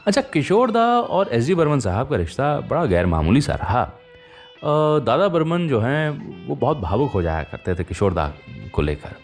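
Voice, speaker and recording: A man hosting a radio show, telling stories in a chatty, conversational way with pauses and rhetorical tags. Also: mid-range voice, big pitch swings, faint music behind. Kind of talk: conversational radio storytelling about old film music.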